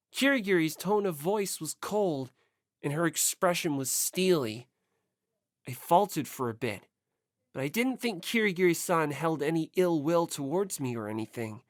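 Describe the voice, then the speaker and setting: A woman's voice: deep voice, no room sound, studio quality. A woman reading scripted first-person story narration as an audiobook narrator.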